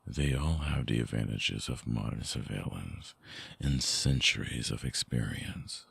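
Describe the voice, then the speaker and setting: A man playing a brooding, vulnerable vampire ex-lover in an intimate ASMR audio drama, hushed and halting, with a breathy, husky, gravelly voice close to the mic. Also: very deep voice, dry recording, heavily acted. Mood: somber confession. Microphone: close binaural microphone, dry.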